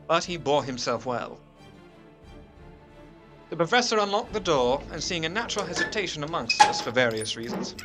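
Haunted Victorian gentleman narrator played by a man, music behind. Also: on the high side. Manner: measured, literary, expressive. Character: earnest, haunted Victorian gentleman recounting horrors. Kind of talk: dramatic scripted narration.

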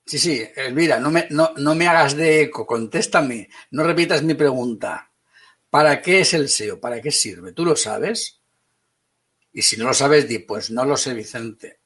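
Brisk teasing Spanish teacher man. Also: medium pitch, animated, a bit bright, slightly rough. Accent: Spanish (Spain). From Spain. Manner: brisk, teasing, talks to students by name. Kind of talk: online class lecture.

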